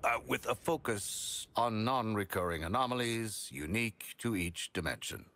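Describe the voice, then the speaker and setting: An actor playing a stern, exasperated team member in a video game, dry and blunt. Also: male voice, lowish voice, expressive, moderate pace, bright, forceful tone, a little hiss or hum. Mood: explaining. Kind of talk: video game character acting.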